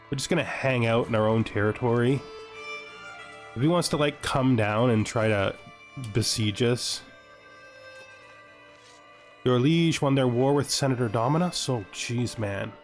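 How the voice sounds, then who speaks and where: Guy doing casual game commentary, medium pitch, thinking out loud, kinda wry, music in the back. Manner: wry, talks to game characters. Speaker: gaming video host. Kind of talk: casual let's-play commentary, thinking aloud.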